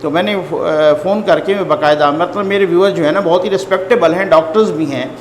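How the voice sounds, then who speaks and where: A man's voice: fairly high pitch, quick pace. A man with a Pakistani accent giving informal news commentary to viewers, conversational and repeating phrases for emphasis. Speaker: host and political news commentator. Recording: echoey reverberant room, faint background noise.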